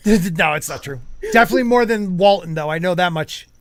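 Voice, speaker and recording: A man's voice: high-pitched, brisk. A man hosting a casual podcast, joking around and reading viewer comments aloud. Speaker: podcast host.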